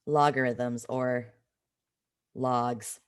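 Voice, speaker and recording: A woman with a slightly raspy voice from a cold, teaching an informal live online math lesson in a conversational way, stressing key points. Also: a bass voice, fairly even intonation, very slow. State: has a cold.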